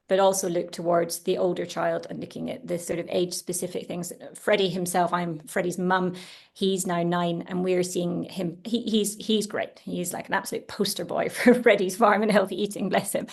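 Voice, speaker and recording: A woman's voice: on the low side, vocal fry. A woman who founded a children's food brand, talking chattily and eagerly on a webinar panel, with many fillers and restarts. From Italian household. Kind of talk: casual panel discussion. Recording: webinar over video call.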